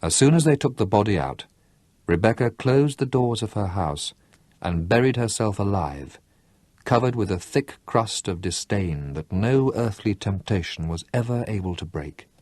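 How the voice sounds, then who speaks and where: Husky low-voiced male storyteller. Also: no room sound. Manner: lively, dramatic reading with slowed emphasis. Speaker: storyteller reading a novel aloud. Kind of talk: expressive audiobook narration.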